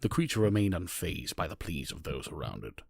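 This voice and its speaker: A man with a low, creaky voice narrates a scripted fantasy tale. His sound is crisp and close, with almost no room on it. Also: big pitch swings, fairly quick, a little mellow, dry recording.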